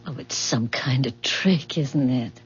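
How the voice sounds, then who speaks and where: An actress playing an uneasy, doubting housewife in a 1970s radio drama, speaking in tentative questions. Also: female voice, extremely low pitch, a little slow, breathy edge, full character voice. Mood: disbelieving. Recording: muffled radio broadcast, faint music.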